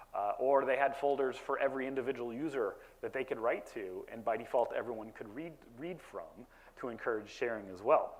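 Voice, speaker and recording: A man giving a conference talk about software deployment to a live audience, explaining with frequent fillers and restarts and a naturally loud voice. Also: mid-range voice, low-quality recording. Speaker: conference presenter. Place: conference session room.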